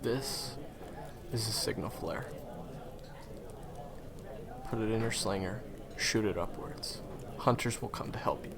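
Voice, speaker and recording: A man playing a low, gravelly, friendly hunting guide. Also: crowd noise. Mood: reassuring, helpful. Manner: conversational, hesitant pauses, reassuring. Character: friendly male guide, former hunter, reassuring and encouraging. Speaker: guide and handler for a hunter. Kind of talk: fantasy game audio roleplay addressed to the listener.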